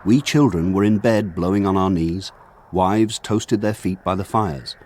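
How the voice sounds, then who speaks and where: An actor playing a grown man looking back on his village childhood, narrating in a measured, lyrical way. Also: low-pitched, a little mellow. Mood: evocative. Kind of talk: scripted literary narration for radio drama.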